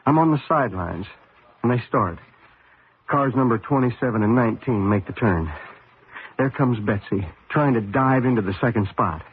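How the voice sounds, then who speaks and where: An actor playing a troubled, devoted husband and former race driver in a radio mystery drama, speaking in a halting, emotional way. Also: male voice, medium pitch, lively intonation, breathy edge. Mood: brooding. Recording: muffled narrow-band old radio recording.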